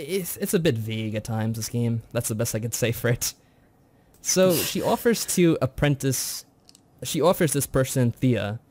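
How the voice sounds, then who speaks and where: A man's voice: medium pitch, a little mellow. A man co-hosting a review show, recapping a story in a chatty, informal way. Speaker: co-host of a visual novel review show.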